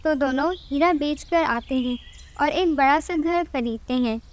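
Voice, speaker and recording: A woman's voice: high-pitched, a little slow. A woman narrator reading a scripted children's story.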